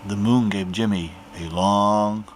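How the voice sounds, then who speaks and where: A man narrating a children's picture book aloud in an animated storytelling manner. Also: on the low side, slow, slight background noise.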